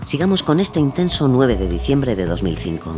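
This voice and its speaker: A man's voice: medium pitch, big pitch swings, normal speed, music in the background. A man narrating a true-crime audio documentary in a scripted style.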